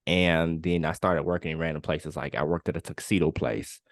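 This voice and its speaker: A young man in his late twenties with a very deep voice talks through his own story on a podcast. He speaks casually and candidly, leaning on filler words as he goes. The sound is dry and close, with no room around him. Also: fairly even intonation, a little fast, no echo. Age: 20s. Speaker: podcast co-host. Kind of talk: casual conversational podcast chat.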